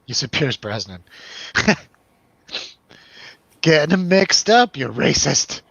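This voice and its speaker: A man's voice: on the high side, sing-song, slow, creaky, fairly bright tone, slightly rough, dry recording. A man hosting a casual, jokey film review discussion, with a teasing manner. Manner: joking, teasing. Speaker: host of a film review show. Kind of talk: casual jokey panel chat.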